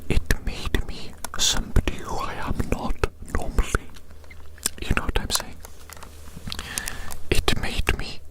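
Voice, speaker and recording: Casting: whisperer for ASMR roleplay, eccentric apologetic character, fully breathy, lingering slowly over repeated phrases. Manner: slow, hushed, repeating words and phrases. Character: apologetic, eccentric, hungry creature-eater inspecting a little creature. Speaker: ASMR performer.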